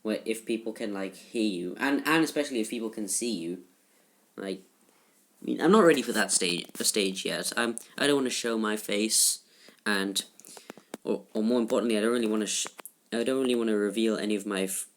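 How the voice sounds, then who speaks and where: A teenage boy giving casual gameplay commentary in a halting, rambling way, full of restarts, recorded in a shared room at home. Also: on the low side. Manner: subdued, somewhat flat delivery. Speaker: gaming YouTuber.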